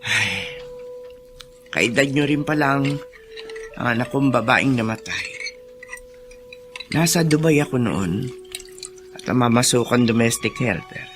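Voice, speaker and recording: An actress playing an older gossipy Filipina housemaid in a radio drama, plain-spoken and full of interjections. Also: female voice, rumbling low, lively intonation, unhurried, pauses often, music in the background. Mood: sorrowful. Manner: colloquial, full of interjections and sighs.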